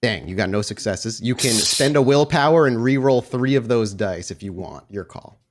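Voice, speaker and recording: Guy game mastering a tabletop rpg, measured explainer, average pitch. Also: expressive, background noise. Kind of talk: narrating a tabletop roleplaying game.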